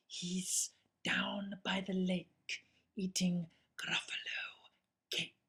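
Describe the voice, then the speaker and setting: A storyteller voicing a sly snake with a whispery, breathy voice in a children's storybook. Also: medium pitch, level tone, very slow, bright, forceful tone, pitched above the performer's own voice, exaggerated voice. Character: sly hissing snake from a log pile.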